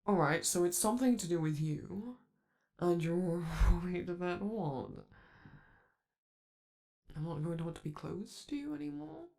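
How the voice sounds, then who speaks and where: A man's voice: high voice, expressive, a little slow, creaky, pauses often, darkish tone, heavily acted. A man voicing a flamboyant, doting male robot star in a romantic ASMR roleplay, theatrical and coaxing, calling the listener darling. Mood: coaxing, flirty.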